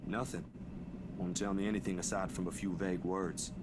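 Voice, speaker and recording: A man voicing an easygoing, protective young man in a visual novel, talking in a casual, gently teasing way. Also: sits low, fairly even intonation, a little fast, heavy background noise, lightly acted. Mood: troubled, serious.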